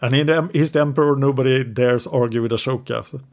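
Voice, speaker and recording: English-speaking man, podcast co-host, mid-pitched, narrates history from notes with the odd hesitation. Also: steady tone, fast, darkish tone. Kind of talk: casual history podcast storytelling.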